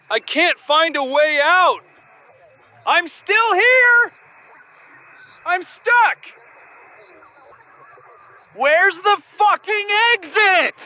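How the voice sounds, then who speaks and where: An actor playing a desperate store clerk who is trapped and unravelling, leaving an anxious, emotional voice message in an audio drama. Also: male voice, extremely high pitch, bright, forceful tone, full character voice. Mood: panicked, desperate. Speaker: store cashier. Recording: muffled lo-fi voice message.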